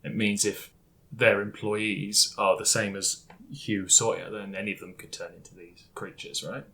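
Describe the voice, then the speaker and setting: A man's voice: fairly low pitch, flat delivery, normal speed, vocal fry, sharp tone, poor audio. A man talking casually as a player in a tabletop roleplaying game.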